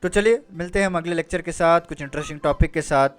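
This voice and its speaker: A man's voice: fairly high pitch, fast, clear, resonant tone. A man giving an informal explainer lecture as a career guidance presenter, conversational, often checking in with listeners and mixing in English phrases. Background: music.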